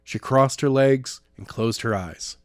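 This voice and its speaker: A man's voice: medium pitch, a little slow, dry recording, studio quality. A man reading a story aloud as an amateur narrator, now and then stumbling and rereading a phrase. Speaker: audiobook narrator.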